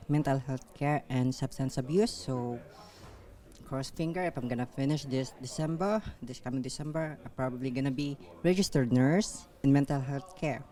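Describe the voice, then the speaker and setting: A woman's voice: extremely low pitch, normal speed, mellow. A woman from the Philippines, a nursing student, giving short casual answers.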